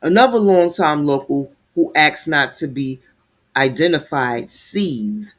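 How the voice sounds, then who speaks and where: A man with a fairly high voice delivers ranting commentary on a news story. He reads passages aloud, trips over words, then cuts in with sarcastic, heated remarks. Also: lively intonation, slow pace, fairly bright tone.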